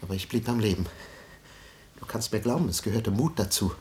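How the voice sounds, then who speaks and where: A man's voice: lowish voice, lively intonation, fairly quick, a little mellow, lightly acted. An actor playing a self-important middle-aged father and dreamer with wounded family pride, pompous and self-dramatising, in a 1950s German radio drama. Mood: self-pitying, solemn. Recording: noisy 1950s radio recording with clicks.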